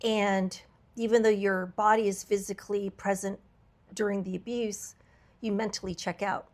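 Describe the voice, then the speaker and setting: A woman hosting a podcast, her voice a little raspy from travel, talking in an earnest, reflective way with frequent fillers and restarts. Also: mid-range voice, little pitch movement, normal speed, darkish tone. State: slightly raspy voice from travel fatigue. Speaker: podcast host. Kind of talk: candid podcast conversation.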